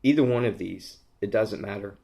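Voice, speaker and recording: Hoarse low-voiced man gushing over a product. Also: normal speed, dark tone. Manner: gushing, rambling, lots of ums and restarts. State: hoarse, losing his voice. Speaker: fragrance reviewer. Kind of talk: casual product review vlog.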